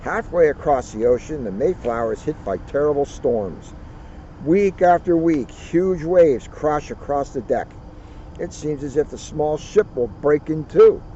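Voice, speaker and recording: A man reading a children's history book aloud outdoors, with deliberate, dramatic phrasing and casual asides. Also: on the high side, lively intonation, warm tone. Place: outdoors at a turkey farm. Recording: muffled outdoor recording, faint vehicle noise.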